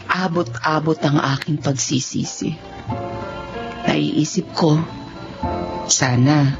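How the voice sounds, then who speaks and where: A sorry jailed wife telling her story, slow and solemn radio drama, muffled with music under it. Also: low-pitched. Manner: solemn, measured, remorseful. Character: regretful imprisoned wife narrating her own downfall. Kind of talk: confessional radio drama narration. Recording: dull recording with loud music.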